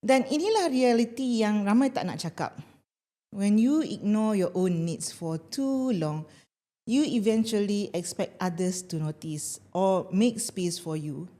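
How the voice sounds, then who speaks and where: Soft-toned Malaysian woman hosting a podcast. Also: mid-range voice, expressive, unhurried. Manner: gentle, reflective, mixes English with Malay. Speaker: podcast host and life coach. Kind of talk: scripted solo podcast talk.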